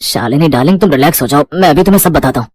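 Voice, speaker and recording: Selfish young city husband, voiced by a man: very quick delivery, evasive, cold, dry studio sound. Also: fairly high pitch, expressive, no echo. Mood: defensive, cold. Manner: defensive, cold, some English words.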